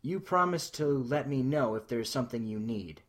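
A man's voice: mid-range voice, expressive, moderate pace, a bit bright. A man voicing a weary, caring older woman in a story reading, conversational and coaxing. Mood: gentle. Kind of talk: audio fan fiction reading.

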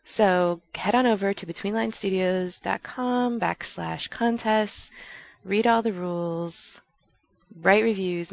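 A woman's voice: fairly low pitch, creaky, muffled recording. A woman hosting a podcast in a casual, rambling, chatty and self-deprecating way, recorded on a headset. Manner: chatty, self-deprecating, laughing at own slips. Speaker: podcast host.

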